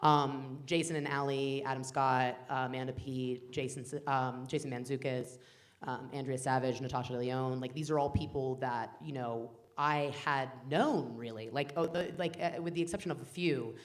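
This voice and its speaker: Woman, 30s, low-pitched director, rambling and animated, full of fillers. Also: normal speed. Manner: rambling, many fillers and restarts, animated quoting. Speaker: film director. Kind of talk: casual panel chat. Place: festival cafe stage.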